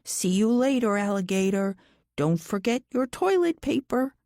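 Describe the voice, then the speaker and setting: Audiobook part: cheeky, talkative little boy acted by a woman, high-pitched, recorded dry. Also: animated, moderate pace, darkish tone, dry recording, close to an everyday voice. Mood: cheeky, playful. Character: chatty, cheeky small boy who loves trains. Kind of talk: audiobook character voice.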